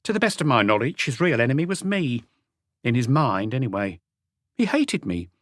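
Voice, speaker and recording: A man's voice: mid-range voice, very animated, moderate pace, no echo, clean studio sound, lightly acted. A man voicing a defiant, impatient woman who is blunt, scornful and unapologetic, in an audiobook story. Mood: bitter. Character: defiant, impatient woman, proud of her lover.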